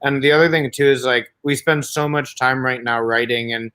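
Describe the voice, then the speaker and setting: A man at mid pitch gives a songwriting performer feedback as a showcase judge. He rambles in loose, filler-packed sentences and stays warmly encouraging throughout. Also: steady tone, moderate pace, smooth. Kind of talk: casual spoken feedback.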